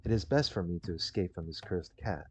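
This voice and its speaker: A man's voice: low voice, lively intonation, a little fast. A man reading visual novel text aloud as dramatic first-person narration, in a theatrical way with the odd stumble. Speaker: gaming video creator. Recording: dull, muffled lo-fi recording. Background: game music.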